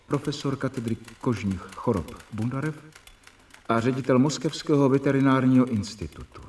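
An actor in a radio play, playing a young doctor who narrates his medical journal in measured, reporting tones. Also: medium pitch, darkish tone, distant mic, a little hiss or hum, close to an everyday voice. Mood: earnest. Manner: measured, reporting dates and symptoms.

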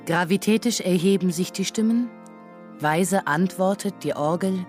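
An actress narrating as an observer in a lyrical literary radio drama, describing things in vivid detail. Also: female voice, fairly low pitch, a little slow, a little mellow, faint music behind, natural voice. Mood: observant, lyrical.

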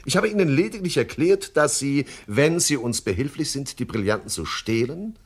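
An actor playing a suave, wordy swindler boss with mock-courteous manners in a radio crime comedy. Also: male voice, mid-range voice, animated, normal speed, close to an everyday voice. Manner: verbose, mock-courteous, hesitant filler words. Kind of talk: 1970s German radio crime comedy acting.

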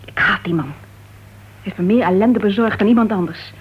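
A woman's voice: medium pitch, normal speed, slightly rough. An actress playing a bitter, guarded woman with a painful past in a Dutch radio play, speaking in a terse, weary, restrained manner. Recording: dull, muffled old radio recording.

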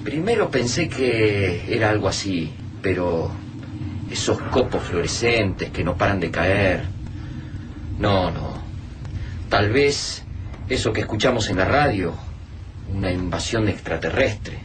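Radio drama: resourceful, serious Argentine survivor, animated delivery, distant mic. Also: male voice, fairly low pitch, takes it slowly. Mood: grave, resigned. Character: Favalli, practical, resourceful, grave middle-class survivor friend. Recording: distant miking with clear background noise.

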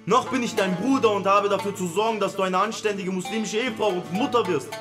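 A man plays a controlling, menacing Turkish brother in a radio drama. His voice is high for a man and full of threat and contempt. Music plays behind him. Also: fast talker, close to an everyday voice. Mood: furious, threatening. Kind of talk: radio play acting.

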